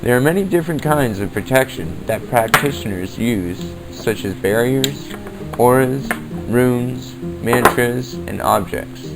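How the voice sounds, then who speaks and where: A man playing a calm occult practitioner, narrating instructions in a measured, explanatory way on a homemade radio-style podcast. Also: low-quality recording. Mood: instructive. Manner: measured, explanatory, addresses listener as friend. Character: calm occult practitioner teaching spiritual protection. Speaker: podcast host playing a practitioner of spirit work. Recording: homemade low-budget recording with music underneath. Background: clear background music.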